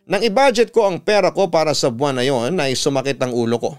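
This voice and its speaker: A man's voice: on the high side, quick pace, clear, resonant tone. A Filipino man who works as a radio announcer, reading out a listener's story as dramatic scripted narration. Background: music behind the voice.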